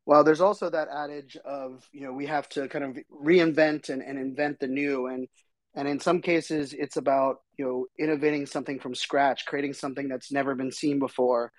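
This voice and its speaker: Male podcast host, middle-aged, a bit hoarse, rambling casual delivery, dry sound. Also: medium pitch, fairly even intonation, moderate pace, no echo. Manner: rambling, chatty, many fillers. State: slightly hoarse. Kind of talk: casual solo podcast talk.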